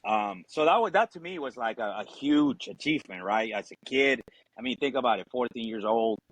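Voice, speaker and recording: A man's voice: mid-range voice, animated, brisk, low-quality recording. A man, telling his story casually and informally as a guest. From Ecuador. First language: Spanish. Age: 40s. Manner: informal, frequent "you know" and "obviously", swearing. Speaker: podcast guest, Marine veteran.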